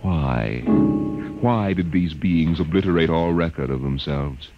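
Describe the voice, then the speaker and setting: A man playing a grave, portentous narrator of a space tale, deliberate and dramatic, in old-time radio style. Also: extremely low pitch. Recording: muffled old radio recording, loud music.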